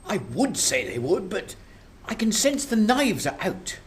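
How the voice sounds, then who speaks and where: A woman acting an embattled, weary Prime Minister in a radio drama, expressive. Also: medium pitch, unhurried, slight background noise. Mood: anxious, embattled.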